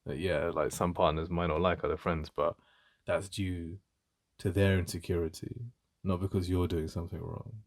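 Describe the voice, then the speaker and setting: Deep gravelly jealous English best friend, acted by a man. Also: steady tone. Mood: defensive. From England. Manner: halting, conversational, emphatic repetition. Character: jealous, protective British male best friend. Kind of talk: intimate ASMR roleplay.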